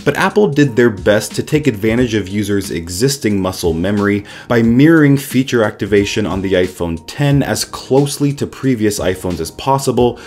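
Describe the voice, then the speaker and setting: A man with a cold, his voice slightly nasal and raspy, narrating a tech explainer as the channel's host in a steady, explanatory way. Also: on the low side, moderate pace, hardly any pauses. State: sick with a cold. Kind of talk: scripted voice-over narration.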